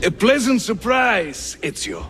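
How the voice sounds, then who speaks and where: Lively, genial assassin leader. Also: male voice, high voice, measured pace, soft background music, full character voice. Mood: wry, confiding. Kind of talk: dramatic game dialogue.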